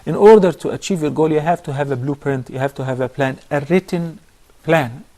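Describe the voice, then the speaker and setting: A man, a sheikh on a call-in show, giving measured, step-by-step religious advice. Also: a little high, mellow. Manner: measured, instructive, lists steps. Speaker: sheikh answering viewer questions.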